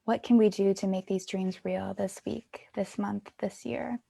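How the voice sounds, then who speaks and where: A woman's voice: mid-range voice, fairly even intonation, measured pace, gravelly, mellow. A woman in her twenties guiding an online creative workshop for young people in an encouraging, reflective way. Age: 20s. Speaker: workshop facilitator.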